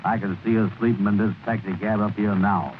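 A man's voice: lowish voice, fairly even intonation, a little fast, natural voice. An actor playing a grumbling, blustery man with folksy, ungrammatical dialect, in 1930s radio comedy style. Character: grumbling, blustery cab rider, wary of spending money. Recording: crackly 1930s radio broadcast, narrow band.

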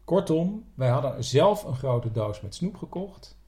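A man's voice: a little high, sing-song, unhurried, warm tone, slightly rough. A man co-hosting a podcast, telling stories and explaining things in a casual, conversational way. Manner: explanatory, anecdotal. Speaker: podcast co-host.

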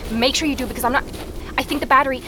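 A woman's voice: high voice, steady tone, rapid-fire, close to an everyday voice. An actress playing an anxious young woman investigator in an audio drama, speaking in a hesitant, naturalistic way. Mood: frightened, breathless. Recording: faint background noise.

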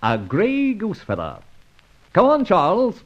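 A mocking, self-assured gentleman adventurer in a vintage radio drama. His husky voice swings widely in pitch with urbane, theatrical flair. The old recording is dull and boxed in. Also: huge pitch swings, slow, heavily acted. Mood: smug, commanding.

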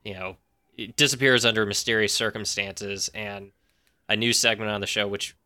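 Male podcast guest, lower-pitched, strikingly bright and tense timbre, anecdotal and explanatory. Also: steady tone, normal speed. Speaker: podcast guest, audio drama writer. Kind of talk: casual interview chat, anecdotal.

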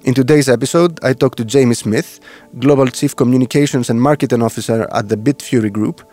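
A man hosting a podcast, reading a scripted welcome and then asking questions in a halting way with uh fillers. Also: mid-range voice, dark tone, soft background music. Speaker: podcast host.

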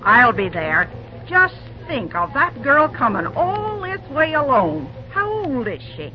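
Folksy, big-hearted diner proprietress, very lively intonation, hissy vintage radio drama. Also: female voice, sits high, takes it slowly, full character voice. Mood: eager, kindly. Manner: folksy, exclamatory. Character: kind, bustling woman running a small-town restaurant. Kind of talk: old-time radio drama acting. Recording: muffled, hissy old radio recording.

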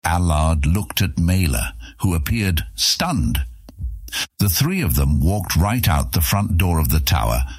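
Deep, husky male story narrator. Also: dry recording, studio quality. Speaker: audiobook narrator. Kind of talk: scripted fantasy story narration.

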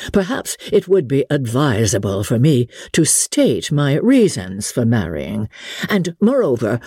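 A woman voicing a pompous, self-satisfied clergyman who speaks in a slow, formal, long-winded way, in a dramatic audiobook reading of a classic novel. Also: low-pitched, dark tone, breathy edge, dry recording, clean studio sound, full character voice. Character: pompous, self-satisfied, solemn young clergyman proposing marriage.

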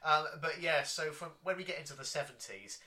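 A man's voice: a little high, animated, a little fast, bright, forceful tone. A man co-hosting a casual review chat, explaining things with enthusiasm. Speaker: podcast co-host.

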